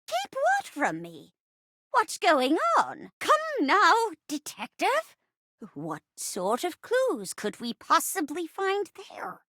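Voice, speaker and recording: Hammy old lady chair from a game, super high voice, swoops everywhere, gasps and stage whispers. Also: wildly swooping pitch, slow pace, no echo, studio quality, heavily acted. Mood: shocked, confiding. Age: older. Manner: stagey, gasping, swooping from hushed asides to high cries. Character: theatrical, melodramatic old woman who is a living chair. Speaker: datable game character. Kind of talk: video game voice acting, murder-mystery melodrama.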